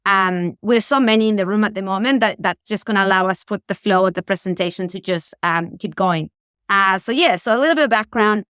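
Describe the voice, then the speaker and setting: A woman's voice: medium pitch, fairly quick, fairly bright tone, clear, resonant tone, dry recording, clean studio sound. A woman in her thirties from Chile with a Chilean Spanish accent, presenting a webinar eagerly with many fillers over a video call. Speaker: tourism sustainability presenter.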